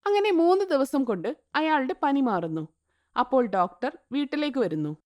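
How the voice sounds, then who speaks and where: A woman narrating an animated moral story in a scripted storybook style. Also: high-pitched, moderate pace. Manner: even, storytelling tone.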